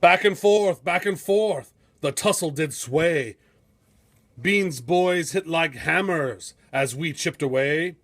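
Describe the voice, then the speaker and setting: Male storybook narrator, high register, unhurried delivery, dramatic and measured, suited to heroic verse. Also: fairly bright tone. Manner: theatrical, measured, epic storytelling. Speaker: storybook reader.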